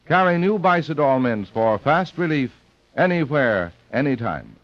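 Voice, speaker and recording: A man's voice: fairly high pitch, very animated, a little slow. An American man as a polished mid-century radio announcer, reading credits and sponsor copy emphatically. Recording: muffled old radio broadcast.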